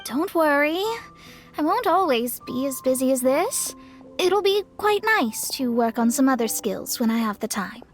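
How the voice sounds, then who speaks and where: A woman's voice: sits high, lively intonation, moderate pace, soft background music. A woman voicing an earnest, self-assured young astrologer in a video game. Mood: pleased, confident. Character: proud but earnest young female astrologer. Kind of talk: animated video game acting.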